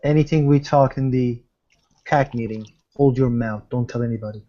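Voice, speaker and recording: A man of medium pitch roleplays in a tabletop game. He speaks tersely, as if giving instructions. The audio is dull and lo-fi. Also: normal speed, mellow. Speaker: tabletop role-player.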